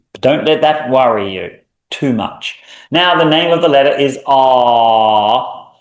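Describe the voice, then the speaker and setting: Casting: Australian male language teacher, slow, patient delivery, roomy echo. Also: mid-range voice. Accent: Australian, mild. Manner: relaxed and explanatory, drawing out example sounds with small hesitations. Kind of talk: recorded language lesson. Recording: echoey room.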